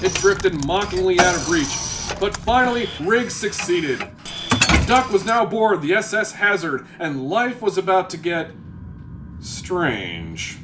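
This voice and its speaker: A man's voice: high voice, big pitch swings, unhurried, loud music behind the voice. A man narrating a sci-fi comedy audio drama with dramatic, mock-serious storytelling delivery.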